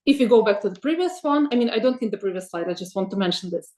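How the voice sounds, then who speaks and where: A woman presents AI tools for teachers in a webinar, thinking aloud with many ums and pauses. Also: medium pitch, animated, darkish tone, echoey room. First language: Russian. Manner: thoughtful, many ums and uhs, asks for next slide. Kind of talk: presenting slides in an online webinar.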